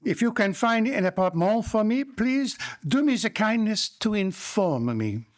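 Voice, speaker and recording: A man's voice: sits high, big pitch swings, a little slow. A man playing a courteous, fussy detective with a French accent, speaking formally and precisely in live radio drama style. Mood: polite, fussy. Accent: put-on French. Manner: formal, gallant, theatrically precise. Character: courteous, fussy Belgian male detective, precise and self-assured. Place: live performance in a library before an audience. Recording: live stage recording, faint noise.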